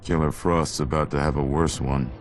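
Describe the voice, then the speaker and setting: An actor playing a grim, no-nonsense superhero in a video game, terse and deadpan. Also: male voice, extremely low pitch, moderate pace, slight background noise. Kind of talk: video game drama acting.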